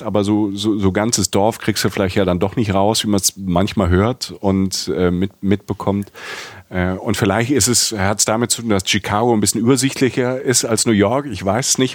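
A man chats casually about his travels, his voice sitting a little on the low side. He talks with enthusiasm but keeps restarting and filling gaps. The sound is dry and close, with no room around it. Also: no echo. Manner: rambling, many restarts and fillers. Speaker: podcast co-host.

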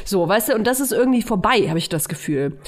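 A woman's voice: mid-range voice, fast. A woman in her early thirties co-hosting a podcast, chatting casually and poking fun at herself. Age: early 30s. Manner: self-mocking, animated, laughs at herself. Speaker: podcast co-host.

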